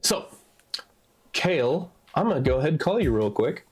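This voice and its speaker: A woman with a deep, bassy voice hosting a casual livestream, talking quickly and jumping in with questions. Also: very animated, darkish tone, a little hiss or hum. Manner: quick, loose, interjecting. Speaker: streamer host.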